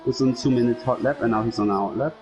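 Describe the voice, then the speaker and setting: German-accented male lead commentator, steady play-by-play, faint background noise. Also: medium pitch, fast talker, highs cut off. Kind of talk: casual sim racing commentary.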